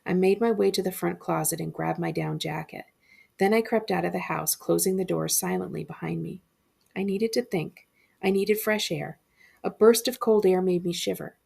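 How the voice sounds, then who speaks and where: A woman librarian reading a spooky story aloud with expressive narration. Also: lowish voice, normal speed, creaky, husky.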